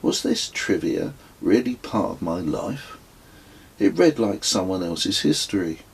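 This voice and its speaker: A middle-aged man reading his own reflective travel memoir as an audiobook, in a measured, literary and wry manner. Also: low voice, sing-song, husky.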